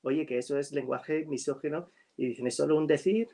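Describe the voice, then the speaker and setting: A man's voice: a little high, a little fast, dark tone. Man speaking with an English-language accent. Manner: hesitant, with many fillers and restarts. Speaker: writer. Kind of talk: casual conversation. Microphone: video call.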